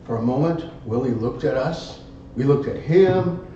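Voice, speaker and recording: A man telling a tall tale live as a contest speech, theatrically, in short halting phrases. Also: animated, warm tone. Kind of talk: live dramatic storytelling speech. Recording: very echoey room, distant muffled mic, rumble.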